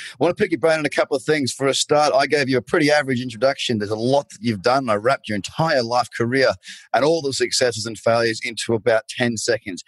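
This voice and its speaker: A man's voice: mid-range voice, no echo, low-quality recording. A man hosting an interview show, talking in a friendly, loose way. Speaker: podcast host. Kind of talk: casual interview chat.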